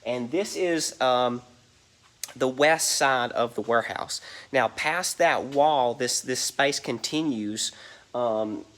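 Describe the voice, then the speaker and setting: A man's voice: mid-range voice, takes it slowly, a bit bright. A man giving a casual walk-through of a large warehouse, rambling with lots of ums and a stuffy, nasal voice from allergies. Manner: rambling, many ums and "you guys". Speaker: reseller giving a warehouse tour.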